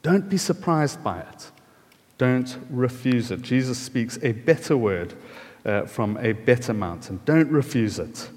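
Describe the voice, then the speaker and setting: A man's voice: medium pitch, lively intonation, measured pace, darkish tone. A man with a South African accent giving a chatty sermon, with many fillers, asking the audience questions. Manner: chatty, friendly, filler-heavy. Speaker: preacher. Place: church hall. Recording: reverberant church hall.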